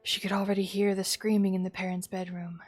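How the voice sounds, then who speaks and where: A woman reading a visual novel aloud as dramatic storytelling, in a hushed tone. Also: medium pitch, flat delivery, fast, no room sound, studio quality. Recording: music behind the voice. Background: background music.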